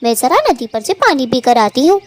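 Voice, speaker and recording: A woman's voice: high-pitched, expressive, a little fast. A woman voicing a patient young wife in a cartoon, pleading and eager to please. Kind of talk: Hindi cartoon voice acting.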